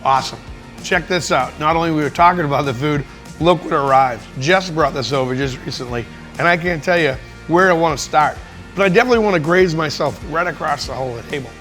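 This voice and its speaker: A man with a Boston accent hosts a casual food vlog, talking in a loose, rambling and enthusiastic way. His voice sits a little high for a man, and soft music plays faintly behind him. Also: brisk. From Boston area. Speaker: travel show host. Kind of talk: casual travel vlog chat.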